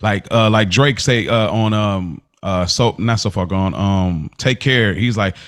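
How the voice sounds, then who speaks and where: Lowish voiced dude cohosting a podcast, rambly n slangy, emphatic casual talk. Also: male voice, a little slow. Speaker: podcast co-host.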